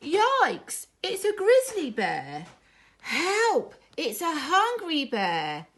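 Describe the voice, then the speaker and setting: A woman voicing a naughty, boastful young wolf cub with a rude, yelling storybook manner. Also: very high voice, wildly swooping pitch, pitched above the performer's own voice, full character voice. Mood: panicked. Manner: rude, yelling, drawn-out taunts.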